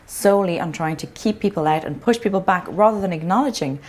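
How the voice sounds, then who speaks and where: A woman's voice: on the low side, fast, a little mellow. Firm, articulate woman advocate.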